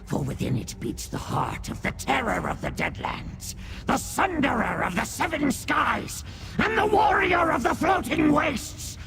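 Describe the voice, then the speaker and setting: A tiny demon rat who believes himself a grand terror. The high voice crackles heavily and swoops through pompous, old-fashioned boasts, in the manner of a fantasy video game, with music underneath. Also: male voice, big pitch swings, measured pace, creaky, hardly any pauses, full character voice. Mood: boastful. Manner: pompous, theatrical, archaic speech. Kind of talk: video game character acting.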